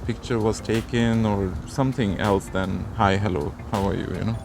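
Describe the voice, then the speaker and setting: Indian-born man, gravelly and halting, speaking over clear background music. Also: fairly low pitch, steady tone. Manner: hesitant, many fillers and restarts. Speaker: guest, restaurant owner. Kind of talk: casual interview chat. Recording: music playing underneath.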